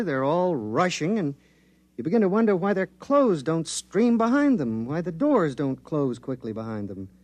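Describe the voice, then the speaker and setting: An excitable space-project technician in a 1950s radio drama. His voice sits a little high and moves animatedly as he explains things conversationally, sometimes repeating himself. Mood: musing, casual. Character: excitable male space-project technician, chummy, increasingly shaken. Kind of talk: 1950s science fiction radio acting.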